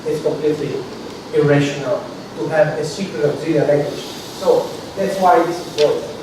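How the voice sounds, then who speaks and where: A man whose first language is Italian lectures a university seminar, patiently explaining and pausing to check his audience follows. His voice sits a little high. He is picked up from a distance in a very echoey room with a clear hiss of noise behind. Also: fairly even intonation. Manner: explanatory, checks in with "okay?", frequent restarts. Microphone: distant from the recording mic. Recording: very echoey room recorded from far off.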